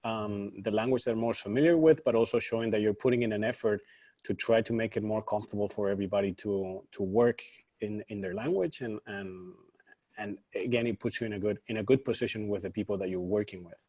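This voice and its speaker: Low-voiced man, persuasive panelist. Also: a little fast, mellow. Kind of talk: panel discussion.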